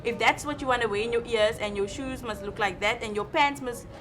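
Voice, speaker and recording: A woman's voice: a little high, a little fast, a bit bright, soft background music. A woman of nineteen with a Cape Town South African accent, talking casually in a group discussion.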